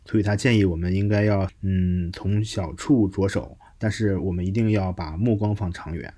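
A man hosting a podcast in a casual, conversational way, pausing now and then as he thinks through his words. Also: low-pitched, fairly even intonation, dark tone, husky. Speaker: podcast host. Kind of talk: casual podcast hosting, reading listener messages.

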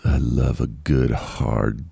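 A man's voice: rumbling low, steady tone, slow, gravelly, dark tone, breathy edge, no room sound. A man hosting a casual solo podcast, rambling and self-deprecating with frequent laughs, sounding congested and nasal. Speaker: podcast host.

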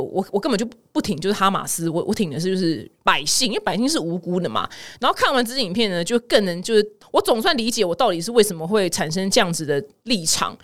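A woman with a Taiwanese Mandarin accent giving casual, chatty news commentary as a podcast host, acting out the people she quotes. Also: mid-range voice. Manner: chatty, animated retelling with acted-out quotes.

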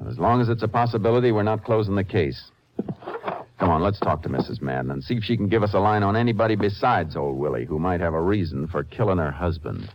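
An actor playing a level-headed, measured Texas Ranger investigator in a 1950s radio drama. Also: male voice, low voice, expressive, poor audio. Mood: calm, doubting. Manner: measured, matter-of-fact. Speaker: lead actor and first-person narrator. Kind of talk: 1950s radio drama, understated dialogue and narration. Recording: hissy 1950s radio recording.

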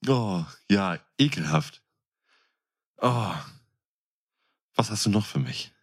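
Deep-voiced man chatting casually. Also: expressive, slow pace, husky. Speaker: podcast co-host. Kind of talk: casual chat.

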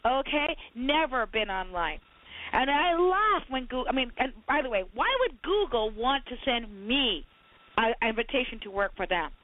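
A woman's voice: on the high side, animated, moderate pace, no echo. A woman from Barbados, a recruiter co-hosting a podcast, arguing emphatically and animatedly over a phone call. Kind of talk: heated podcast debate. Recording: tinny phone line.

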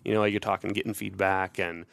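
A man with a low, gravelly voice shares stories from his training work in a relaxed podcast chat. His delivery is chatty, with plenty of "you know" fillers. The recording is dry and close, with no room sound. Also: brisk, no room sound. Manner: chatty storyteller, many fillers. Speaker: guest safety trainer. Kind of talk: casual podcast conversation.

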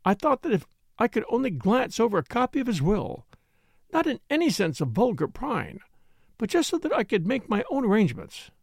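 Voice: medium pitch, wildly swooping pitch, fairly quick, gravelly, breathy edge, no room sound, clean studio sound, pitched above the performer's own voice, heavily acted. A reader voicing a fussy, tearful lady who talks in a fluttery, rambling, self-pitying way. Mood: wheedling. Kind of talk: audiobook character reading.

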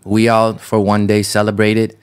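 A man's voice: on the low side, normal speed, clean tone. A man who grew up in the Netherlands, a podcast guest chatting casually with lots of fillers. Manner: relaxed, loose, frequent fillers. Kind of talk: casual interview chat.

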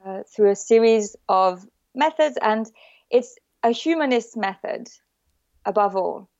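A woman's voice: a little high, expressive, a little slow, dry recording. French-accented woman, dry dull sound. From born in the UK, raised in the south of France. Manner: warm, laughs often, hesitant fillers. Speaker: guest, bio-neuroemotion practitioner. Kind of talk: casual interview chat.

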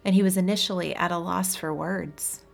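A woman's voice: on the low side, fairly even intonation, normal speed, vocal fry, faint music behind. A woman reading a story aloud as scripted audiobook narration. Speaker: audiobook reader.

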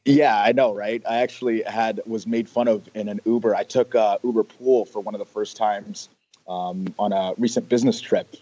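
A man's voice: on the low side, fairly even intonation, normal speed, mellow, dull audio. A man from Canada, a guest in a casual interview, talking with many fillers and restarts over remote call audio. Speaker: guest, sales rep.

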